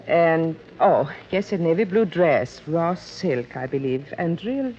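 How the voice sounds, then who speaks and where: A woman's voice: deep voice, animated, slow, darkish tone. An American woman playing a prim, chatty, fussy department-store salesclerk in a 1950s radio drama. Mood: gossipy, precise. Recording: dull vintage radio recording.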